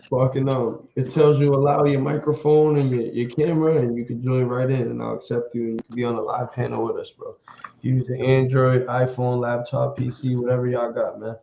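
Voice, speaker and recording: A man's voice: medium pitch, warm tone. A man hosting a podcast in a laid-back, slangy, profane way. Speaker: podcast host. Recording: echoey room, dull lo-fi sound.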